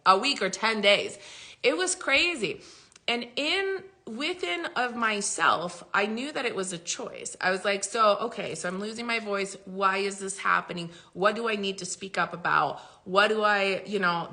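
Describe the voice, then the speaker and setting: A woman's voice: mid-range voice, sharp tone. A woman spiritual coach talking casually and emphatically to her followers, swearing freely and laughing often. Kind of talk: casual talk to followers, livestream-style.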